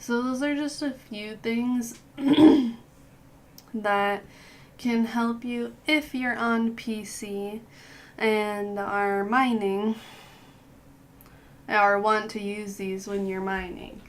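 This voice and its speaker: Hoarse young woman, slow gaming guide. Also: fairly high pitch, fairly even intonation. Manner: unhurried, clears throat often. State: hoarse from a cold. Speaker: gaming youtuber. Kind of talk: casual game guide voice-over.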